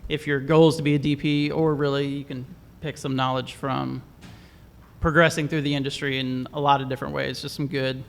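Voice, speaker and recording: A man hosting a casual interview show, relaxed and rambling, often trailing off softly. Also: medium pitch, fairly quick, gravelly, long reverb tail. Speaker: host.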